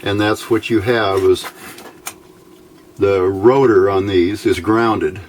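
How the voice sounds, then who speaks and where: A man with a fairly low voice presents an informal hands-on electronics tutorial. He speaks very slowly and haltingly, thinking aloud as he goes. There is some faint noise behind him. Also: steady tone. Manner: halting, thinking aloud while handling parts.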